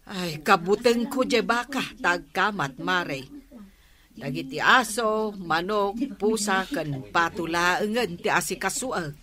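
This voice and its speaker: A hesitant village woman in an Ilocano radio comedy. She speaks slowly in a lowish voice with an Ilocano accent, over crowd-like noise.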